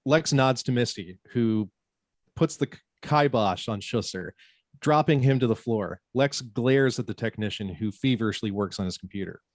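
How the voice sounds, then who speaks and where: Male narrator, mid-pitched, reading screenplay scene descriptions in a close, dry recording. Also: normal speed, dry recording. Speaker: stage-direction narrator. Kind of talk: scripted narration at a table read.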